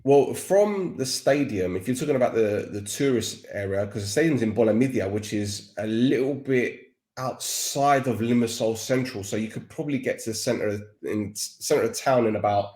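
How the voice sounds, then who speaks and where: A man's voice: medium pitch, normal speed, hardly any pauses, a little mellow. Chatty Cockney man in an echoey room. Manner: chatty, rambling with restarts. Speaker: podcaster and guest expert. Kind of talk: casual interview chat.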